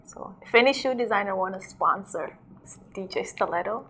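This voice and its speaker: A woman's voice: mid-range voice, animated, normal speed, a bit bright, a little hiss or hum. A young woman from South Sudan, a DJ and model, chatting casually in an interview, saying "like" a lot and laughing often.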